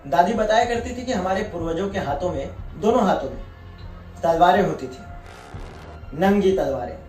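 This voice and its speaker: Merciless veteran colonel for a stage drama, male actor: high male register, solemn and deliberate, recorded off-mic with faint music. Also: a little mellow, close to an everyday voice. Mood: proud, reminiscing. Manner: grave, deliberate, drawn-out emphasis on key phrases. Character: proud, merciless war-veteran colonel presiding over a court martial. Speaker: stage actor performing a monologue. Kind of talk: dramatic theatrical Hindi monologue. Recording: echoey room, distant mic, faint music.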